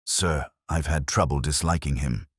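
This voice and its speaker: A man's voice: rumbling low, moderate pace, slightly rough, no room sound, studio quality. A man voicing a loyal police sergeant who is frank, respectful and questioning in a mystery story. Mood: puzzled, frank.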